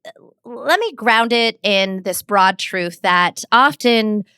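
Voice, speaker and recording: Smooth-voiced woman explaining with confidence. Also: medium pitch, animated, slow, pushed and bright, dry recording, clean studio sound. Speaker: communications expert guest. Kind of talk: interview answers, advice with examples.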